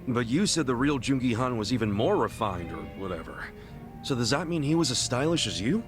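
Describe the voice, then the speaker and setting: A man's voice: medium pitch, expressive, brisk, over music, natural voice. A man voicing a blunt, curious, easygoing friend in a video game cutscene, talking in a casual, slangy way. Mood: curious, friendly.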